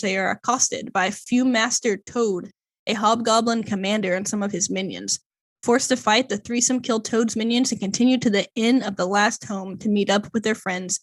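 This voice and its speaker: A woman co-hosting a casual podcast, stumbling over odd names as she reads a long plot summary, with lots of fillers. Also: on the high side. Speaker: podcast co-host. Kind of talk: casual chat, reading a plot summary aloud.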